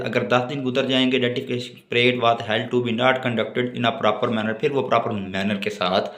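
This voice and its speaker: A man with a Pakistani accent lecturing on notes, reading aloud haltingly and then explaining. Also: medium pitch, a bit bright. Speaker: law lecturer.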